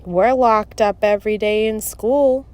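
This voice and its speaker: A woman's voice: medium pitch, measured pace, darkish tone, natural voice. A woman voicing a grumpy, challenging schoolboy in a storybook, blunt and griping. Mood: grumbling. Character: grumpy, challenging schoolboy who gripes and blurts.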